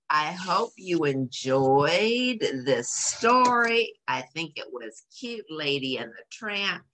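A middle-aged woman tells a children's story from her desk at home. Her voice sits low but moves through a huge range of pitch, with drawn-out emphasis for young listeners. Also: a little hiss or hum, rough recording. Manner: animated, drawn-out emphasis, asks listeners questions. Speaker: storyteller, channel host. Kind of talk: expressive read-aloud for children. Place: small home office, indoors.

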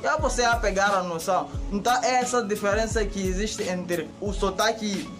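A young man with a Mozambican Portuguese accent talks casually to the camera for his channel, animated and gesturing with both hands, recorded close up indoors by a bare concrete wall. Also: sits high, brisk, soft background music. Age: young adult. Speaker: YouTuber.

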